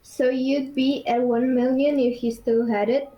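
A woman from Brazil chatting as a guest on a stream, unhurried and a bit hesitant, poking fun at herself. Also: sits high, level tone, dull audio. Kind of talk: casual chat.

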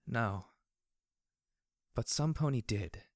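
A man voicing a young female pony, soft-spoken, weary and troubled, in a narrated story. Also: medium pitch, animated, slow, no echo, clean studio sound, pitched above the performer's own voice. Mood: quietly bitter. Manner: soft, quiet, muttering.

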